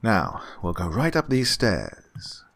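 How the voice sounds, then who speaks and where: A man's voice: lowish voice, huge pitch swings, takes it slowly, gravelly, fairly bright tone, heavily acted. A man playing a falsely genial, sinister employer in a radio suspense drama, over-courteous, unctuous and insistent. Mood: bustling, courteous. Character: falsely genial, sinister male employer luring a young woman. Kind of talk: old-time radio suspense drama acting.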